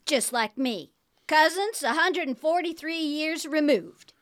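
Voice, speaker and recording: A woman's voice: high voice, animated, measured pace, a bit bright, no room sound, clean studio sound. A woman playing a coy, man-hungry undead Southern cousin in a comic radio play. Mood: flirtatious. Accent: American South. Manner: coy, flirtatious. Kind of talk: comic radio theater acting.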